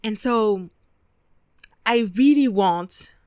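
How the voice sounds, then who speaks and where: A woman's voice: a little high, drawn-out words, a little mellow, no echo, studio quality. A talkative French woman in her twenties, hosting her own podcast in English with a French accent and native French, swearing casually as she chats. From France (Paris). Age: 20s. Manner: chatty and talkative, casual swearing, frequent laughter. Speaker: podcast host. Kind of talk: casual solo podcast monologue.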